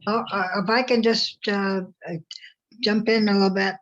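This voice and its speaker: Female, mid-pitched, informal personal sharing, slightly lo-fi recording. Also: little pitch movement, moderate pace, a crowd in the background. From United States. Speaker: workshop participant.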